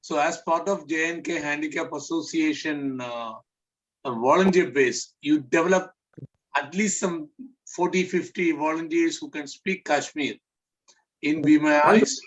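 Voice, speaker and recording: A man from India moderating an online question session, calmly calling on participants. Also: fairly high pitch, a little slow. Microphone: video call. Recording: dull lo-fi call audio.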